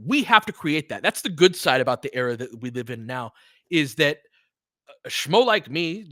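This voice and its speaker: A man's voice: on the high side, sharp tone, no echo. A man hosting a sports podcast, chatting off the cuff in an earnest, rambling, freely profane way. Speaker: podcast host and sports play-by-play streamer. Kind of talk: unscripted casual chat with listeners.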